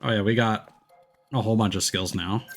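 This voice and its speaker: A man's voice: on the low side, steady tone, a little fast. A man giving laid-back, noncommittal commentary while livestreaming a video game. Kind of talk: casual gameplay commentary. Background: game music.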